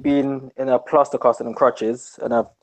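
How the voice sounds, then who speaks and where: A man's voice: medium pitch, level tone, moderate pace. A man who is a guest on a podcast, chatting in a laid-back, slangy way. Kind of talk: casual podcast chat.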